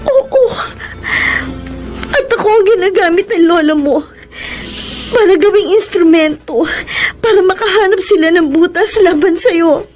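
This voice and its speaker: High-pitched, anguished young woman. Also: animated, a little slow, darkish tone. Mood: tearful, guilty. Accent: Filipino. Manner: emotional, pleading, tearful. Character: anguished young escort woman confessing betrayal to the man she loves. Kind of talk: Filipino radio drama acting. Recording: dramatic music behind the voice.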